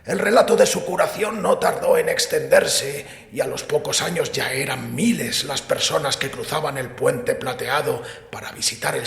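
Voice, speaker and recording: A man acting in a Spanish audio drama as a devout, stubborn priest, earnest and solemn, with a gravelly voice. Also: fairly high pitch, fairly bright tone, exaggerated voice. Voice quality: creaky, gravelly. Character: devout, defensive male priest, stubborn believer. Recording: echoey hall with long reverb.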